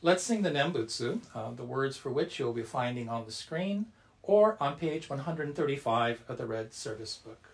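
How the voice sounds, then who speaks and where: Measured man leading a reverent Buddhist service. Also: medium pitch, expressive, gravelly. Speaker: Buddhist temple service leader. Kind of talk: reverent recitation and guided meditation.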